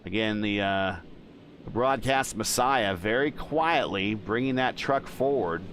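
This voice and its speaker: A man doing live play-by-play commentary for a virtual truck race, chatty and quick to react to the action. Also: on the low side, a little hiss or hum. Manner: excitable play-by-play, chatty with stream chat. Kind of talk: live sim racing commentary.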